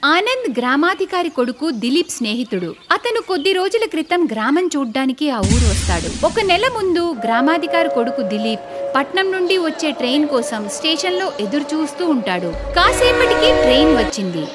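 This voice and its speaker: A woman's voice: sits high, very animated, normal speed, over music. A woman narrating a scripted cartoon story in a dramatic style. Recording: clearly noisy background.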